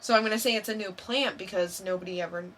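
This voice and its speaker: A woman's voice: mid-range voice, fast talker, a bit bright. A woman co-hosting a podcast, chatting casually and informally in a blunt way. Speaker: podcast co-host. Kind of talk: casual quiz banter.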